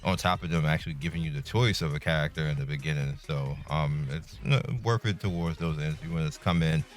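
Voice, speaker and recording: A man with a deep, low voice talks through a game in a casual, laid-back way. His delivery is colloquial and halting, like a video host giving impressions, with music playing behind him. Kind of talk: casual game review commentary.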